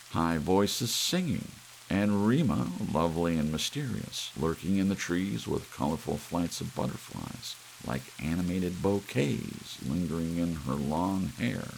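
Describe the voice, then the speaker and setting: A low-voiced man narrates a story as an ASMR audiobook. His delivery is gravelly and quite animated in pitch, over soft rain and a faint hiss. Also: poor audio. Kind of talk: scripted story narration, ASMR bedtime reading. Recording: hissy, soft rain sounds behind.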